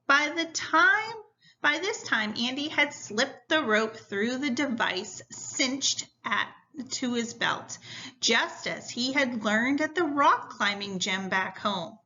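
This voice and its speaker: A woman's voice: high voice, animated, takes it slowly, sharp tone, dull audio. A woman reading a story aloud like a teacher, addressing young listeners directly in an instructive way.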